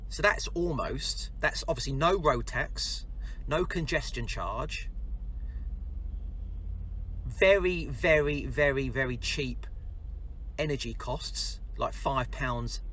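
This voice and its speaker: A man's voice: medium pitch, a bit bright. A middle-aged man with an English accent chatting casually and in a rambling, informal way in a car vlog. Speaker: vlogger, design and construction business owner. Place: inside a car. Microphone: camera at about arm's length. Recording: clear vehicle noise inside a car.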